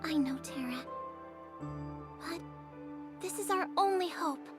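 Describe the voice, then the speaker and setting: Earnest little girl for a game: extremely high, heartfelt, determined, music behind. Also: takes it slowly. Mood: resolute. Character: earnest, tender young girl, hopeful and determined. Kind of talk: video game dialogue acting.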